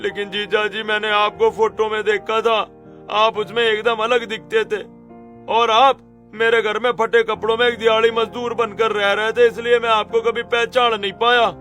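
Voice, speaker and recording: A man's voice: high voice, level tone, normal speed, pushed and bright, faint music behind. A man playing a cowardly young crook in a cartoon, whining and pleading for mercy. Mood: frightened, pleading. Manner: whiny, pleading. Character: cowardly, pleading young brother-in-law, a small-time forger. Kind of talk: Hindi cartoon story acting.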